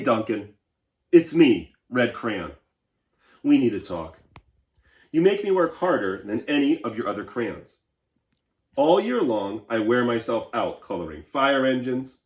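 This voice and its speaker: Man voicing an overworked red crayon, loud, pleading. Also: mid-range voice, pitched above the performer's own voice. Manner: emphatic, drawn-out pleading. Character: overworked, worn-out red crayon, loudly complaining. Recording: roomy, echoing indoor sound.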